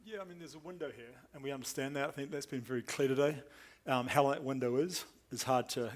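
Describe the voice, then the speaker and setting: A man speaking as a panelist, measured in his remarks. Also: mid-range voice.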